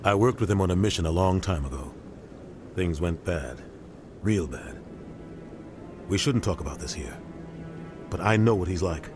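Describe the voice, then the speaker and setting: A man's voice: sits low, animated, moderate pace, long pauses. A man playing a middle-aged navy captain in a video game, grave, embittered and emphatic. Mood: grim. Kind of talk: video game cutscene acting. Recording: music behind game dialogue.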